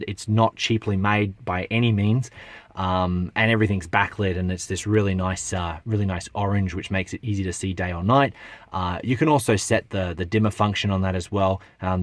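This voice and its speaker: A low-pitched man talks through a product in a casual, unscripted way. He is blunt and matter-of-fact, hesitating now and then. The sound is dry, with very little room around the voice. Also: no echo. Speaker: product reviewer. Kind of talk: casual unscripted review to camera.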